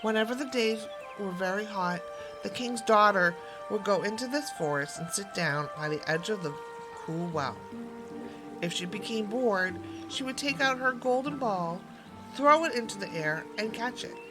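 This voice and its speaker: Woman, storyteller, expressive fairy-tale narration, medium pitch, music in background. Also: normal speed.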